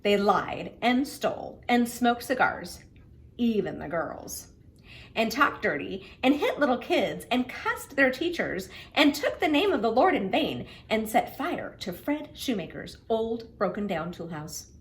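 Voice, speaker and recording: A middle-aged woman reading to children in an expressive, simple, explanatory way. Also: a little high, a little slow. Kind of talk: children's read-aloud with friendly introduction.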